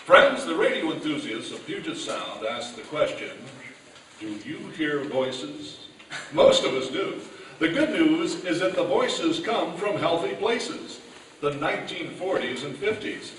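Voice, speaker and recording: A man announces in a scripted, old-time radio style from a live stage. His voice sits fairly high. It carries through an echoey hall, heard some way from the mic with faint noise. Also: lively intonation, measured pace, fairly bright tone, far from the mic.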